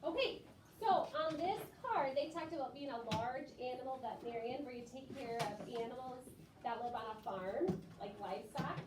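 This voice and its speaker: A woman's voice: high voice, expressive, moderate pace. A woman teacher talking to young children in a classroom, explaining things simply and rephrasing as she goes. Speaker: elementary school teacher. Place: classroom, away from the mic. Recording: echoey classroom from far off, clearly noisy.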